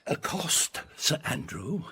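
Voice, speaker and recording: An actor playing a boisterous, hard-drinking roguish uncle in a Shakespearean radio comedy, with a gravelly, teasing, blustering delivery. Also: male voice, on the high side, very animated, slow, pushed and bright, a little hiss or hum, heavily acted. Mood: rowdy teasing. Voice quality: gravelly, husky.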